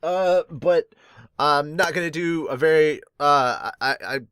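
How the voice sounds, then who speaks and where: A man's voice: fairly high pitch, slow, a bit bright, clean tone, no echo, studio quality. Rambling young man hosting a podcast. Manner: rambling, filler-heavy, chatty. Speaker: podcast host. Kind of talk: casual manga review.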